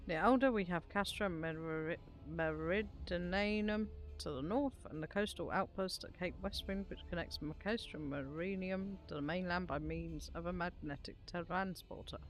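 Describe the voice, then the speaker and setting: A woman's voice: on the low side, normal speed, few pauses, natural voice, rough recording. Woman putting on a formal, declamatory military commander. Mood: stern, instructive. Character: formal, stern military commander briefing troops. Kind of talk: reading fantasy game dialogue aloud. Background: background music.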